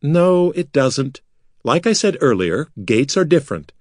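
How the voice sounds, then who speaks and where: A man voicing a smug, sharp-tongued female wizard who explains things in a dry, lecturing way. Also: on the high side, very animated, measured pace, no echo, pitched above the performer's own voice. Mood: explaining. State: rasping after being choked.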